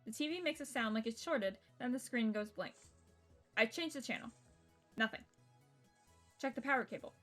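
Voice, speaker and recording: High-voiced woman streamer reading a game aloud. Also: expressive, moderate pace, long pauses, fairly bright tone. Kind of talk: reading visual novel narration aloud with casual asides. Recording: music behind the voice.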